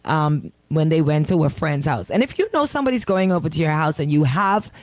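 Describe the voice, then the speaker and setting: A woman with a Bahamian accent hosting casual radio chat, animated, emphatic and colloquial, close on a studio microphone. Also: deep voice, fairly quick, no room sound. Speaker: radio host.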